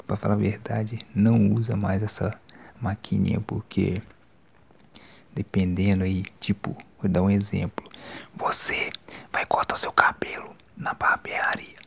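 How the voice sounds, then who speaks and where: Brazilian male, 20s, hushed ASMR barber, low-pitched with heavy vocal fry, casual delivery. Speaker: ASMR creator playing a barber. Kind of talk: ASMR roleplay, soft-spoken and whispered. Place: small decorated indoor room. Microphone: handheld phone microphone up close.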